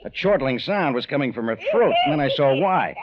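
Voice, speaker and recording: A man's voice: mid-range voice, lively intonation, brisk, crowd noise, lightly acted. An actor playing a tough, wry detective who narrates his own case in a 1940s radio style, clipped and wisecracking. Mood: wry narrating. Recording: dull, lo-fi old radio with music.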